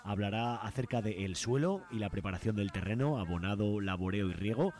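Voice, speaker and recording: Male radio host from Spain, fairly low voice, informal and hesitant commentary. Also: fairly quick, darkish tone.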